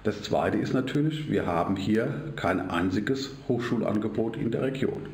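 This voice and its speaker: Middle-aged man, school principal, lower voice, animated, roomy office sound. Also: moderate pace. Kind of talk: interview answer. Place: his office.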